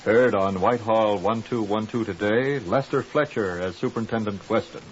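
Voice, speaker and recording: A man's voice: lowish voice, normal speed. A man announcing in formal, earnest 1950s radio style, reading from a script. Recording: muffled, hissy 1950s radio recording.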